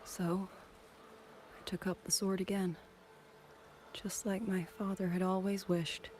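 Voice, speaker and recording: An actress playing a grieving former musician turned soldier in a video game, speaking softly and measuredly with wistful resolve, over background music. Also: female voice, medium pitch, little pitch movement, measured pace, a little hiss or hum, natural voice. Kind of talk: dramatic video game voice acting.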